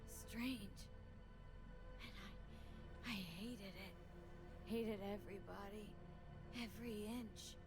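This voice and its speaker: An actress playing a shaken young woman mercenary in a video game, speaking in halting, haunted lines. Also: medium pitch. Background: game music.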